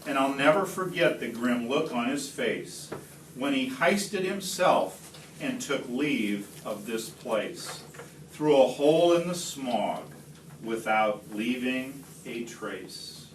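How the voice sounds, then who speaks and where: A man reading a rhyming picture book aloud expressively, slowly and deliberately, with dramatic pauses. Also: medium pitch, animated. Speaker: read-aloud storyteller. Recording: roomy, distant phone capture, faint noise.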